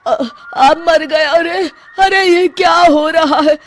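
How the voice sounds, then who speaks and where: An actor playing a frightened village headman who begs in panic in an animated Hindi story. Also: very high-pitched, takes it slowly, heavily acted. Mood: panicked. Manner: panicky, begging. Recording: faint background noise.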